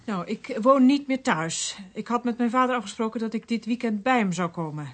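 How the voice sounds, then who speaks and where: Radio drama daughter: higher-pitched, earnest, anxious and courteous, with hesitant phrasing. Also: female voice, lively intonation, brisk. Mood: polite, hesitant. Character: polite, anxious daughter reporting her father missing.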